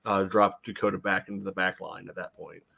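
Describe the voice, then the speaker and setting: Quick talking guy, lowish voice, chatting sports on a podcast, matter of fact. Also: little pitch movement, gravelly, sharp tone. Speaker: podcast co-host. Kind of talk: casual sports chat.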